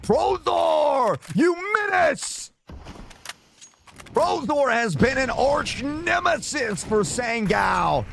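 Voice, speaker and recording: Gravelly male esports caster, excitable. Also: a little high, a little hiss or hum. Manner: excitable, bursts into loud shouts on big plays. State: slightly sore throat after illness. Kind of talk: play-by-play esports commentary.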